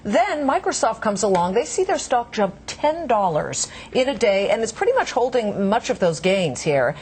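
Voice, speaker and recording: A woman's voice: fairly high pitch, big pitch swings, moderate pace, a little mellow, a little hiss or hum. A woman co-hosting a business news panel, pressing her points emphatically. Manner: emphatic, questioning. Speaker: news co-host.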